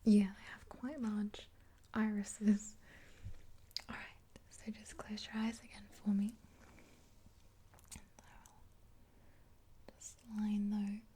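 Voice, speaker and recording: A woman in her twenties whispering gently and unhurriedly in a casual, rambling ASMR roleplay, close to the mic. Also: medium pitch, level tone, slow, pauses often, slight background noise. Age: 20s. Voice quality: breathy whisper. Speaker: ASMR roleplay performer as makeup artist. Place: small indoor room, dark backdrop.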